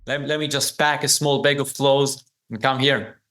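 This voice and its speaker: A man's voice: medium pitch, fairly even intonation, moderate pace, fairly bright tone. A man in his forties with an Israeli accent, a native Hebrew speaker, telling his story conversationally as an interview guest. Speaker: podcast guest, business founder.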